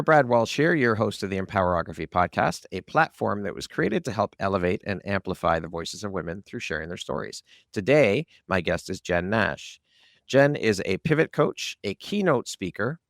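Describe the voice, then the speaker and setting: A man's voice: lowish voice, sing-song, normal speed, gravelly, fairly bright tone, no echo, studio quality. A man speaking as an upbeat, encouraging podcast host. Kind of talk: upbeat scripted intros and interview talk.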